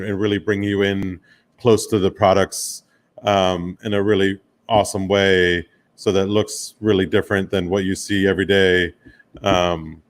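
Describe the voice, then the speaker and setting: An American man from Miami giving an informal presentation about his own career, speaking in a casual, loosely phrased, conversational way. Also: low voice. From Miami, United States. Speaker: guest speaker, commercial photographer and director.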